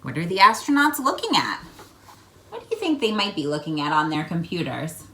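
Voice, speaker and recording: A woman reading a children's picture book aloud in a playful, expressive way, prompting young listeners with questions. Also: fairly low pitch, fairly quick, rough recording. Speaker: children's read-aloud host.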